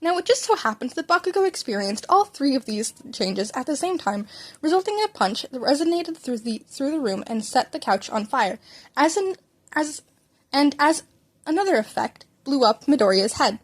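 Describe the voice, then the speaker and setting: A woman's voice: high-pitched, sing-song, moderate pace. A woman narrating a story as an amateur reader, informal, often stumbling over words and correcting herself. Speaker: fan fiction reader and narrator.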